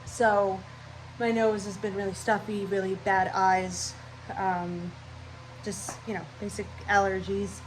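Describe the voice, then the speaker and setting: A woman's voice: mid-range voice, level tone, slow pace, a little hiss or hum, rough recording. Nasal, stuffy-nosed young woman vlogging. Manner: scatterbrained, rambling, chatty. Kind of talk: casual channel intro talk.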